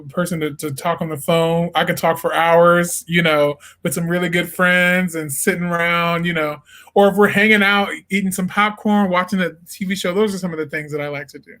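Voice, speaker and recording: A man from Illinois, a jazz singer and interview guest, talking in a relaxed, thoughtful way with lots of "you know". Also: on the high side. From Illinois, USA. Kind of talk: casual interview talk.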